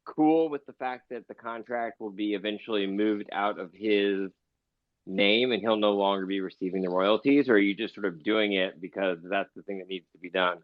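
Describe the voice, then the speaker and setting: A man co-hosting a casual interview, asking questions in a halting, tentative way. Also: on the low side, no room sound. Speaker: interviewer, co-host. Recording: slightly dull online audio chat.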